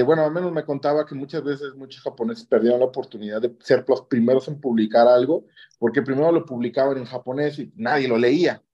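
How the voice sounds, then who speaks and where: The recording is slightly dull and lo-fi. A man with a Mexican accent speaks at an average pitch, recounting his experiences in a conversational way. He often closes his phrases with a short questioning tag. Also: dark tone. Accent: Mexican Spanish. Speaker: interview guest, orthopedic surgeon. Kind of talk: conversational personal storytelling. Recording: dull lo-fi audio.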